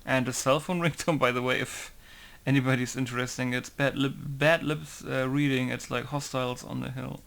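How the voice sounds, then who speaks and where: A man's voice: medium pitch, fairly even intonation, normal speed, creaky, hardly any pauses, fairly bright tone. A young man modding a game, chatting casually in rambling asides while he works at his computer. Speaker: game modder. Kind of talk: casual working commentary.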